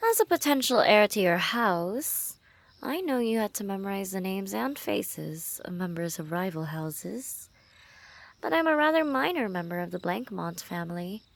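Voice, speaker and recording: A woman playing a lovestruck assassin in an intimate ASMR roleplay, teasing and menacing, speaking softly and often dropping into whispers. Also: medium pitch, animated, moderate pace, vocal fry. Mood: amused, confiding.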